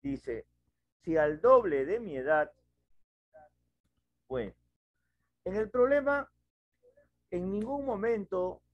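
A middle-aged man teaches an online math class, moving slowly through each step and asking his students questions. His voice rises and falls a great deal. The headset audio sounds dry and muffled. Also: fairly high pitch, no echo. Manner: patient, explains step by step with questions. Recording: dull lo-fi video call audio.

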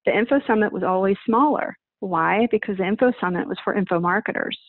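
A woman's voice: mid-range voice, fast talker, no room sound. Woman on a tinny video call. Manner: emphatic, explains with examples and rhetorical questions. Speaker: event planning guest. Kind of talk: conversational interview answers. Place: home office, indoors. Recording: dry, tinny phone-like video call audio.

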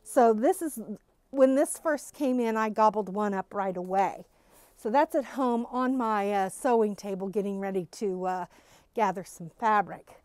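A woman's voice: medium pitch, a little slow, darkish tone, no echo. A middle-aged woman giving a casual, gushing tour of new stock in a quilt shop, talking through a face mask to a phone camera. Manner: bubbly, gushing enthusiasm. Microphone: phone camera following her, wearing a face mask.

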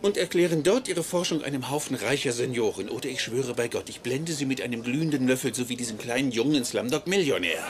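An actor playing a slick, bossy, effusive university president in a sitcom dub. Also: male voice, mid-range voice, expressive, fast, few pauses, crowd noise, close to an everyday voice. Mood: threatening.

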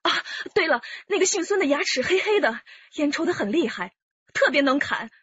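一位女演员在广播剧中扮演精明的年轻上海妻子，也是劫案受害者，声音带气声。. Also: female voice, high voice, sing-song, a little fast, a bit bright, dry recording, dull audio. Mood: shaken. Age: young adult. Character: shrewd young Shanghai wife, robbery victim. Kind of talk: radio drama acting.

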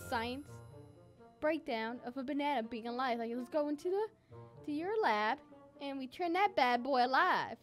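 A young woman playing an excitable, mischievous little girl in a playful improvised game roleplay, with a childlike, giggly manner. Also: high voice, moderate pace, lightly acted. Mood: playful, coaxing. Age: young adult. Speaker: gaming video host and roleplayer. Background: background music.

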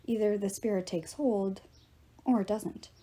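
A woman plays a cocky young sun god in a storybook. He is breezy and cheerful, with a mellow tone. He speaks like a showman making grand announcements. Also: mid-range voice, fairly even intonation, a little slow, breathy edge. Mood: urgent, flippant.

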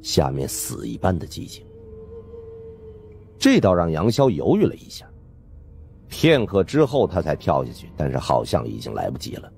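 A man narrates a suspense audiobook in an extremely low voice. His delivery is dramatic, with wide swings of intonation. Soft music runs behind him. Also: huge pitch swings, moderate pace, gravelly, pauses often.

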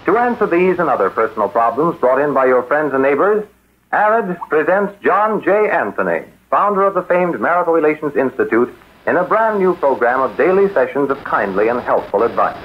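A male radio announcer from the 1940s delivers an emphatic pitchman read. His voice swoops widely in pitch. The recording is muffled and carries a faint hiss. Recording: muffled, hissy old radio archive recording.

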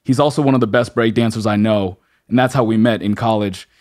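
A man's voice: on the low side, steady tone, brisk, a little mellow. A man from Los Angeles hosting a podcast, talking in a laid-back, enthusiastic way with casual slang. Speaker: podcast host.

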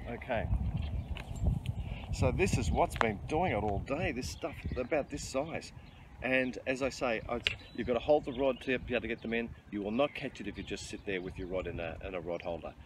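A man's voice: mid-range voice, moderate pace. A middle-aged man, chatting casually and explaining his fishing as he goes, with frequent ums and restarts, filmed on a riverbank by a bridge. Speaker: fishing vlogger. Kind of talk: casual outdoor fishing commentary. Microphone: handheld camera close-up. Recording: noisy outdoor riverside recording.

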